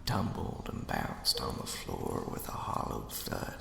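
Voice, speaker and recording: A man voicing the sinister ghost of a murdered henchman, telling a spooky tale in a hushed, folksy, menacing way for an ASMR roleplay. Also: a bass voice, very animated, unhurried, background noise, exaggerated voice. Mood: ominous storytelling. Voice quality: breathy, gravelly whisper. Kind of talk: ASMR roleplay, scary storytelling. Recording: reverberant, cavernous echo.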